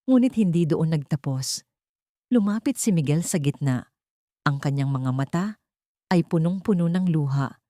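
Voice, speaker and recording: Low-voiced Filipino woman narrating drama. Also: expressive, normal speed, long pauses, warm tone, no room sound, clean studio sound. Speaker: audiobook narrator. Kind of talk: dramatic scripted story narration.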